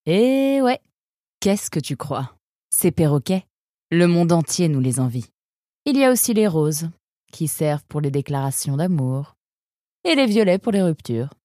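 A woman voicing a confident, proud female fox who explains things briskly in a children's audio story. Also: low-pitched, sing-song, dark tone, no echo, studio quality. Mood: proud, explanatory.

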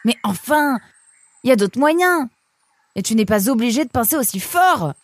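A woman's voice: fairly high pitch, expressive, normal speed, dry recording, studio quality, pitched above the performer's own voice. A woman voicing an easily flustered, indignant young male fox, exclaiming and complaining in a children's audio story. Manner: whiny, exclamatory, hesitant when confused.

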